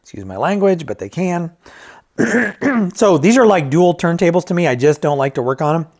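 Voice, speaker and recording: A man's voice: a little high, very animated, moderate pace, a little mellow. A man giving a casual, unscripted product review as a vintage audio reviewer, candid and self-deprecating, thinking aloud in colloquial phrasing.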